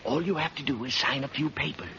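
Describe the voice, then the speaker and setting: An actor playing an officious, businesslike loan clerk with deadpan politeness in vintage radio comedy style. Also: male voice, mid-range voice, fast talker. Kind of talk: 1940s radio comedy acting. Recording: very noisy, muffled vintage radio recording.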